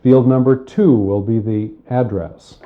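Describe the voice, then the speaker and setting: A man giving a methodical, step-by-step spoken computer lesson, explaining with pauses, recorded on cassette tape. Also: mid-range voice, steady tone, narrow, tinny audio. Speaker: software tutorial instructor.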